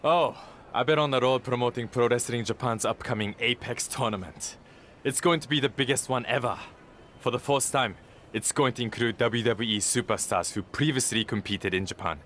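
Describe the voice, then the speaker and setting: A man's voice: medium pitch, moderate pace, a bit bright, slight background noise, lightly acted. A man playing an arrogant star wrestler in a video game cutscene, condescending, haughty and smug. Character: arrogant, condescending star wrestler who thinks himself above everyone.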